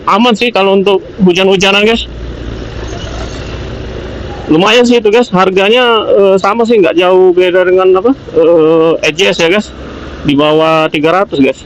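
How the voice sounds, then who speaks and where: A man's voice: high-pitched, dull audio. An Indonesian man motovlogging, casually rambling through a product review in an informal, hesitant, repetitive way as he thinks aloud. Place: outdoors, riding a motorbike on the road. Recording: noisy outdoor traffic and vehicle noise.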